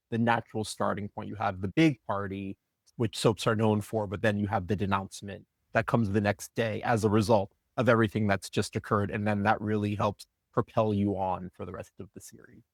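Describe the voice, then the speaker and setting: A man's voice: lowish voice, no echo. A man speaking as a guest from a production team, casually explaining how a story was put together.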